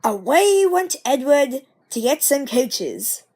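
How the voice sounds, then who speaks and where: A woman reads a children's storybook aloud. Her voice is high and very animated in pitch, and she takes her time, telling it expressively in a measured way. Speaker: storybook reader. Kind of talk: storybook narration read aloud.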